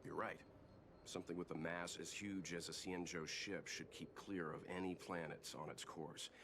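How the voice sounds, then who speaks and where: A man playing a composed, erudite game character who lectures in a measured, matter-of-fact way. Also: low-pitched, level tone, noisy background. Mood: calm, analytical. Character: composed scholarly older man, analytical lecturing traveler. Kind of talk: video game voice acting.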